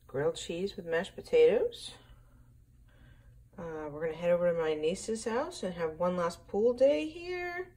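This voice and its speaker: A woman in her forties, hoarse from a sore throat, chatting in a rambling, self-deprecating way in a casual video diary filmed in her home kitchen. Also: on the low side, dark tone. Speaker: diet vlogger. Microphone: camera at arm's length.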